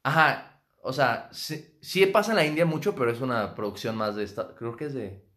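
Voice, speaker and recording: Mexican man, podcast host, relaxed and joking, mid-pitched voice. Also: moderate pace. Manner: relaxed, joking, stop-start. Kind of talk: casual chat.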